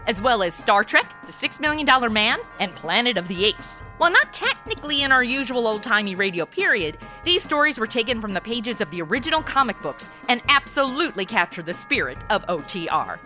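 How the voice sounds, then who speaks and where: A woman's voice: fairly high pitch, pushed and bright. A woman hosting a show, reading scripted background narration. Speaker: show host. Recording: music behind the voice.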